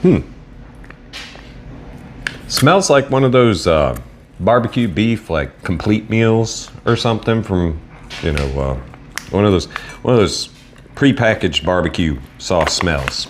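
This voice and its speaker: A man with a Southern American accent casually reviewing food on camera in a relaxed, rambling way. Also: low voice, slow pace, long pauses, a little hiss or hum. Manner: laid-back, rambling, self-deprecating. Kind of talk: casual solo video review.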